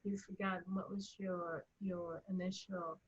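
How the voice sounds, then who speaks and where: A woman being interviewed as a writer and director, speaking reflectively and haltingly, rephrasing as she goes and often breaking into laughter. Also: lowish voice, level tone, dull audio, slight background noise. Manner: laughs readily while talking.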